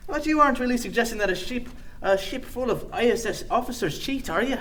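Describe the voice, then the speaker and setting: An actor playing a roguish, good-humored starship commander in a sci-fi radio play, with folksy, hesitant speech. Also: male voice, very high voice, expressive, quick pace, fairly bright tone, slight background noise. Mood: mock-stern.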